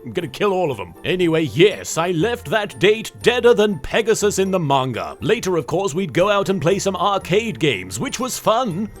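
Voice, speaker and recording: Casting: male actor as arrogant, theatrical anime pharaoh, boastful comic host, higher-set voice with very animated intonation. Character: cocky, dramatic young male Egyptian pharaoh spirit from anime. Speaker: host of a comedic countdown. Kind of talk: scripted comedic monologue in character.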